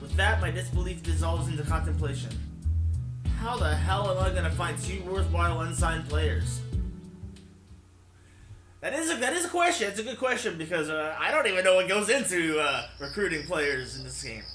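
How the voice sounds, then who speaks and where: Higher-voiced man narrating in an echoey room. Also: lively intonation, normal speed. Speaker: let's play host. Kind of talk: reading a visual novel aloud, narration. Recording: echoey room, music behind. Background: game music.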